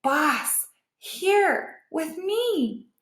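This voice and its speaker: A woman's voice: extremely high pitch, big pitch swings, drawn-out words, a bit bright, roomy sound, pitched above the performer's own voice, full character voice. A woman voicing an earnest, curious male pet dog in a storybook, eager and questioning. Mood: surprised.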